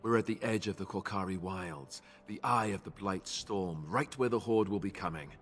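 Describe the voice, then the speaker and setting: An earnest young warrior of a knightly order for a video game. His voice is on the low side and forward, with a bright edge. He explains things in a measured, self-deprecating way, and a long reverb hangs around him. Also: faint music behind. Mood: candid, modest.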